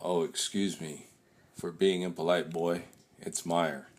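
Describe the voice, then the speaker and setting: A young man playing a shady older businessman on a phone call, speaking in a low, clipped way. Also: fairly even intonation, a little slow. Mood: cool. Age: young adult.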